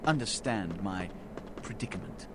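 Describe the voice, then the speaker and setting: A pompous aristocratic vampire lord from a video game. His pitch moves widely through measured, formal phrases and dramatic pauses. There is clear noise behind him. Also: lively intonation. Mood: solemn, stern. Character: stern, formal, aristocratic male vampire lord passing judgment.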